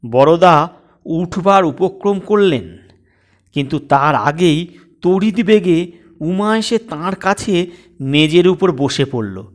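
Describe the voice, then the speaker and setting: A man reading a comic story aloud as narrator, in an expressive, deliberate style. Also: on the high side.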